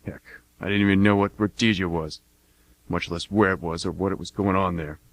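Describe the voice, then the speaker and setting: An actor playing a blunt, cocky American mercenary war veteran, talking in a folksy, plain-spoken way in a radio play monologue. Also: male voice, low voice, steady tone, fairly quick, natural voice. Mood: matter-of-fact, boastful.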